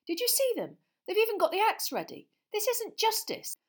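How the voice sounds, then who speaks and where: A woman's voice: extremely high pitch, very animated, quick pace, pitched above the performer's own voice. A woman voicing a blunt, indignant teenage boy in a storybook reading. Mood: angry.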